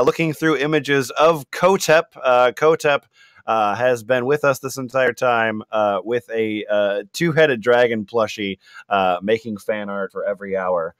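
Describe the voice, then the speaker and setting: A man reading posts aloud for comedy in a halting way, with casual asides and amusement in his delivery. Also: mid-range voice, fairly bright tone, smooth, no room sound, clean studio sound. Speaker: reader and host on a comedy podcast.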